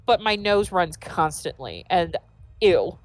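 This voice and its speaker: A congested, stuffy-nosed woman chats through a let's play, giggling and tumbling over her own restarts. Her voice sits a bit low but swings widely up and down as she talks. The sound is close and dry, with faint music underneath. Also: sing-song, slow pace, no room sound. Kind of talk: casual gaming chat.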